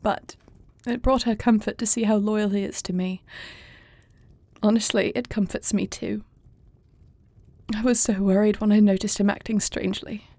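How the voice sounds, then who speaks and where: A woman's voice: mid-range voice, fairly even intonation, normal speed, long pauses, dark tone, dry recording, close to an everyday voice. A woman playing a grieving young warrior princess about to become queen, speaking formally and earnestly in an intimate fantasy audio roleplay. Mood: composed, sorrowful. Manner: formal, earnest archaic phrasing.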